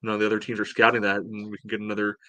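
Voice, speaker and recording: A man chatting casually as a sports podcast host, breaking down play in a halting, rambling way full of verbal padding. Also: fairly low pitch, completely flat delivery, pushed and bright, dry recording. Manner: halting, rambling delivery peppered with "you know". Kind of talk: casual sports analysis chat.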